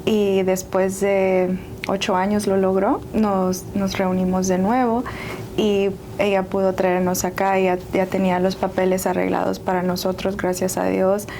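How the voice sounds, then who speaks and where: A woman's voice: medium pitch, level tone, unhurried, dark tone. Salvadoran woman guest, writer and life coach, reflective storyteller, slight background hum. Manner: earnest, reflective, occasional laugh. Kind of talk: personal storytelling in interview.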